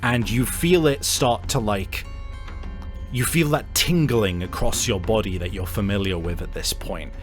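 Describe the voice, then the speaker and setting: A man's voice: fairly low pitch, a little slow, faint music behind. A man narrating an improvised tabletop game as game master, feeling out his phrasing in hesitant pieces.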